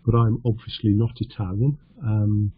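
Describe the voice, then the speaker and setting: A middle-aged man from North Yorkshire, teaching an online lesson over slides in a halting, conversational way with a self-deprecating humour. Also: on the low side, flat delivery, breathy edge, dry recording, narrow, tinny audio. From North Yorkshire, England. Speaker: English teacher.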